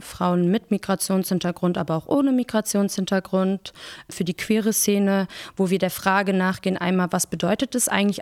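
Woman, 30, Persian first language, from Germany. Reflective, explanatory guest, gravelly low register, dry close sound. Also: a little mellow, no echo. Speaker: interview guest, social worker. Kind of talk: reflective personal account.

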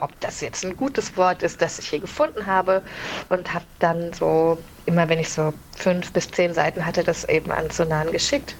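A woman gives explanatory answers in an interview. Her voice is a little on the low side, and there is faint ambient noise in the recording. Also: low-quality recording. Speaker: interviewee, translator.

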